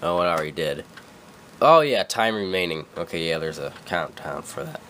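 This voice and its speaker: A man's voice: low voice, very animated, moderate pace, fairly bright tone, slight background noise. Stuffy-nosed young man rambling through a tutorial. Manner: rambling, self-correcting, full of fillers. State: stuffy from a cold. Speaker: tutorial maker. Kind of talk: casual screen-recorded tutorial. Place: dim room at a computer.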